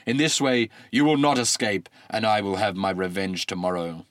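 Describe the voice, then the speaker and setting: A man voicing a vengeful, commanding male god in a read-aloud myth, booming and imperious. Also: fairly even intonation, moderate pace, fairly bright tone, no room sound, natural voice. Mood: menacing. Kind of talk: storybook reading.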